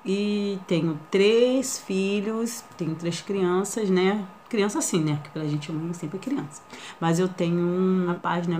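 A shy woman in her thirties with a Rio de Janeiro accent talks into a nearby camera in a small living room. Her voice sits a little low, and a faint hum of room ambience runs under her halting, filler-heavy speech. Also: a little mellow. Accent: Rio de Janeiro Portuguese. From Brazil. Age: 30s. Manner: shy, hesitant, many fillers and tag questions. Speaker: vlogger. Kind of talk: casual first-vlog introduction.

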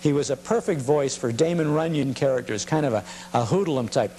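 An American man presents old clips informally, reminiscing in a halting way. His voice sits at a middling pitch, with a faint noisy hum behind it. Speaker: presenter of vintage broadcasting programme. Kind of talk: informal spoken introduction to film clips. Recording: steady hum and noise.

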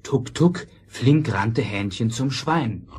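A man narrating a scripted fairy tale, expressive and measured. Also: medium pitch. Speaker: storyteller, narrator. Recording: old record with noise, clicks.

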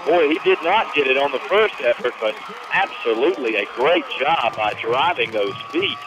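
A man with an American accent calling live football play-by-play on the radio, with excitable, emphatic delivery. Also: medium pitch, moderate pace, crowd noise, noisy background. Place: outdoor high school football stadium at night.

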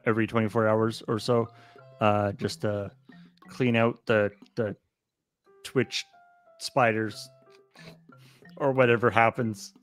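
A man in his thirties co-hosts a livestream over a video call. His voice is average in pitch and his talk is casual, with faint music in the background. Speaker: charity stream co-host. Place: indoor room, remote video call.

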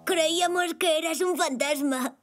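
High-pitched, curious little zebra boy. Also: a little slow. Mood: curious, puzzled. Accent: Castilian Spanish. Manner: inquisitive, earnest questioning. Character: curious, imaginative five-year-old boy zebra. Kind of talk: animated children's cartoon dub. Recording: music behind the voice.

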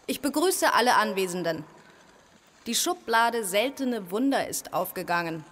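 A woman's voice: medium pitch, sing-song, normal speed, a bit bright. An actress playing a strict female official chairing heaven's assembly in a radio play, formal and declamatory. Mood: solemn, official. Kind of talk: German radio play acting. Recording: long hall reverb, faint noise.

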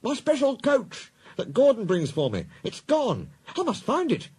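A man's voice: very high-pitched, huge pitch swings, a little slow, darkish tone, breathy edge, voice pushed up, full character voice. A man voicing a fussy, proud, indignant little male tank engine in a children's story. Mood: agitated. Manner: indignant, fussing.

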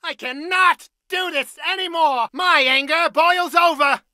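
Game role: haughty, hot-headed man-at-arms, piercing and forceful, slow pompous theatrical delivery. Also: very high voice, no echo, clean studio sound, exaggerated voice. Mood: exasperated. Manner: pompous, declamatory, mock-Shakespearean. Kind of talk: theatrical video game voice lines in archaic English.

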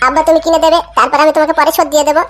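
A woman plays a feckless young village fellow in a comic cartoon. The voice is high and whiny, insistent and extremely quick, with faint noise behind. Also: little pitch movement, fairly bright tone. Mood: pleading. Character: pleading, feckless young village man, eager schemer.

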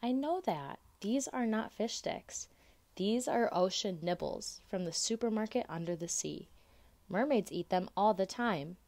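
A crafty, persuasive boy playing big brother in a storybook, read by a woman. He explains things slowly and with great assurance, his pitch rising and falling in a lively way. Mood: knowing, persuasive.

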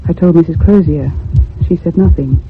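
An actress playing a thoughtful, troubled young woman in a radio ghost drama, speaking in a grave, measured, haunted way. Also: lowish voice, natural voice. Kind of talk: old-time radio drama narration. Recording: muffled phone-like radio recording with hum.

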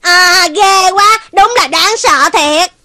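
A woman voicing a childlike, excitable robot cat in a cartoon dub, anxious and exclamatory. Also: very high voice, slow, background noise. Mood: playful, explaining. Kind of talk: Vietnamese cartoon dubbing. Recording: music behind the voice.

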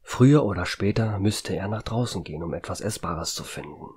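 A man's voice: low-pitched, animated, brisk, darkish tone, slightly rough. A man reading dramatic scripted narration of a horror audio story. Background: background music.

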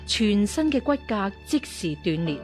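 An actress playing a stern, shrewd martial-arts nun of royal birth, measured and commanding, in a Cantonese radio drama. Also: female voice, mid-range voice, big pitch swings, music in the background, lightly acted. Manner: measured, authoritative.

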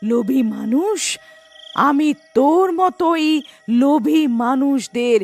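A woman's voice: sits high, lively intonation, slow, darkish tone, soft background music, full character voice. A woman voicing a vengeful female witch ghost in a cartoon story, menacing and scolding, drawing out her threats. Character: vengeful female witch ghost who punishes greedy men.

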